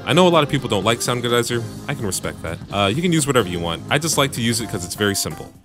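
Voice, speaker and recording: A man with a bassy voice casually narrating a home recording tutorial, with joking asides, on a studio mic in his home room. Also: sing-song, rapid-fire, over music. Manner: laid-back, joking asides. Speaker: music producer and YouTube tutorial host. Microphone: studio microphone through audio interface.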